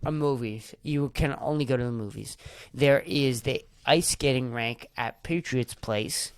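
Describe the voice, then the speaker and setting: A man's voice: medium pitch, measured pace, clean tone, dry recording. A young man co-hosting a podcast in loose, rambling casual chat, full of fillers and swearing. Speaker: podcast co-host.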